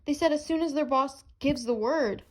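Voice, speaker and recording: Woman acting a high-voiced plucky female reporter. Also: moderate pace, lightly acted. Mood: earnest, urgent. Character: earnest, plucky young female newspaper reporter. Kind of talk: amateur superhero radio play.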